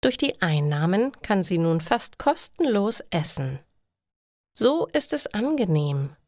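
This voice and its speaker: Slow, dry-recorded woman narrator. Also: medium pitch, sing-song, warm tone, dry recording. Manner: deliberate, clearly separated short sentences. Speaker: narrator of German learning stories. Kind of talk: slow scripted story narration for language learners.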